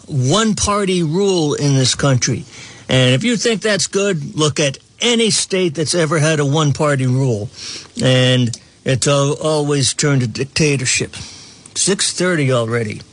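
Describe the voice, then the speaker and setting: A man hosting a talk show, delivering a rambling political commentary monologue with frequent restarts and chuckles. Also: fairly high pitch.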